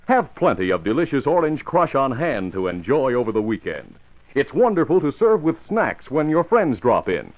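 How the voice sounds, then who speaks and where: A male radio announcer reading a script in hearty, emphatic 1950s style. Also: medium pitch. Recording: muffled vintage radio recording.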